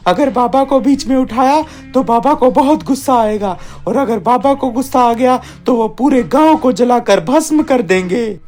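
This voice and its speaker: A woman's voice: on the high side, normal speed, few pauses, a little mellow, close to an everyday voice. Woman as nervous male disciple, raised voice, imploring respectful manner, cartoon tale, background music. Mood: alarmed, imploring. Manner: urgent, respectful warning. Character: anxious young male disciple of a holy man. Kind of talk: cartoon storytelling.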